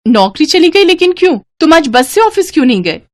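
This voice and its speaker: A woman acts out a greedy, boastful young wife from a moral story. Her voice is high and swings excitedly, with a whiny, demanding edge, recorded dry. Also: brisk, no room sound. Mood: alarmed.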